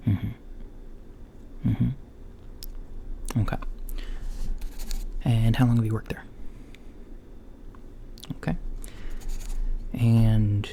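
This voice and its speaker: A man playing a mild, puzzled alien doctor in a soft, breathy, often whispered ASMR roleplay, with hesitant, gently deadpan murmuring. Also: fairly low pitch, very slow, pauses often, full character voice. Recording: lo-fi home recording, faint background noise.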